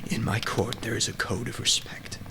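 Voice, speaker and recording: Low, gravelly Hades, stern underworld lord acted by a man. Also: steady tone, normal speed, noisy background. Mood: angry, threatening. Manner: curt, commanding, guarded. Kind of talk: radio play acting.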